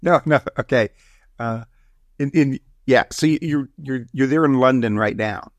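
A man hosting an interview podcast, talking in a halting way with many restarts and fillers. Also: medium pitch, no echo, muffled recording. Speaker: podcast host.

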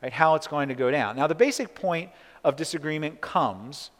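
A man's voice: a little high, lively intonation, moderate pace. Conversational male pastor, echoing hall. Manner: conversational, frequent "right?" asides and restarts. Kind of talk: sermon.

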